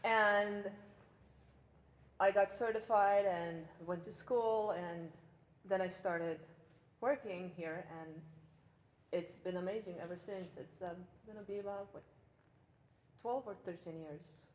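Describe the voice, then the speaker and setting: A woman's voice: medium pitch, slow pace, long pauses, roomy sound. A woman in her forties from Romania, a fitness trainer chatting easily in an interview. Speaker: personal trainer, gym co-owner. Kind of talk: casual interview.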